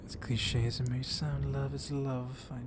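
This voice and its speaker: A man acts out a seductive vampire librarian with a gently commanding, flirtatious air. He speaks very slowly in a soft, hushed voice that often drops into a whisper, as in an intimate ASMR roleplay. His pitch is an ordinary middle male one, and a clear rumble of thunder runs behind him. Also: level tone, lightly acted. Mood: tender, flirty.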